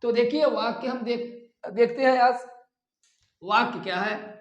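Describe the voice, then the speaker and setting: A man's voice: very high-pitched, measured pace. A man teaching a grammar lesson in a didactic way, repeating phrases as if for dictation. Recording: echoey, reverberant room.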